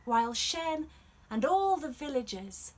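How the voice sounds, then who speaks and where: A woman reading a children's storybook expressively as a storyteller, dramatic and varied, with hushed passages. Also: high voice, sing-song, measured pace.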